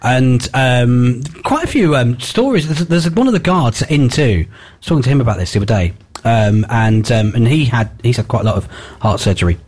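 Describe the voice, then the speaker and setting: A man's voice: mid-range voice, animated, brisk, a little mellow, slightly rough. A British man presenting on the radio, chatting and interviewing in an informal, rambling, jokey way.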